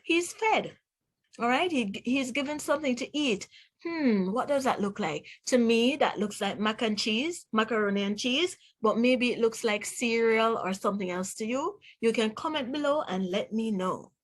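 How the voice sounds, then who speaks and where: A woman reading aloud to young children as a teacher, pronouncing words deliberately one by one and putting questions to the listener. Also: on the high side. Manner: deliberate word-by-word enunciation, asks the listener questions. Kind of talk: read-aloud phonics lesson for children.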